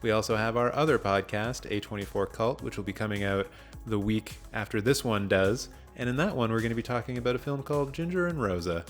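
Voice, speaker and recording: A man's voice: fairly low pitch, fairly quick, faint music behind. A man hosting a podcast, chatting casually about films in a jokey, self-deprecating way. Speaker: podcast host. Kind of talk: casual film discussion.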